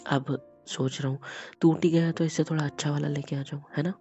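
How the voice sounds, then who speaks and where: A man playing a young husband who is hot-tempered but tender and apologetic, speaking softly and often near a whisper. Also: on the high side, level tone, brisk, dull audio, faint music behind, close to an everyday voice. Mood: reassuring. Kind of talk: romantic audio drama.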